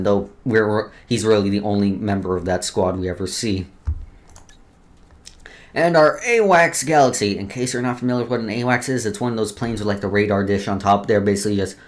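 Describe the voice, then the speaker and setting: A man hosting a let's play, reading game lore aloud with casual asides and often stumbling over words before restarting. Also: medium pitch.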